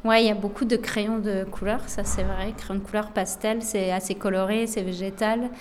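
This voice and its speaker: A young woman, an illustrator, answering interview questions with many pauses, fillers and restarts. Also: mid-range voice, level tone, slight background noise. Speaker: illustrator and author of books. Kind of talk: radio interview, spontaneous answers.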